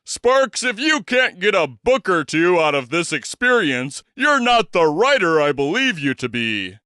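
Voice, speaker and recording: A man voicing an older eccentric writer who speaks grandly and flatteringly. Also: sits high, unhurried, no room sound, studio quality, voice pushed up, full character voice.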